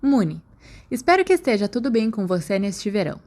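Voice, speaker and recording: A woman's voice: mid-range voice, very animated, brisk, a little mellow. A woman with a Brazilian accent giving a scripted audiobook-style narration of fan fiction. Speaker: podfic narrator.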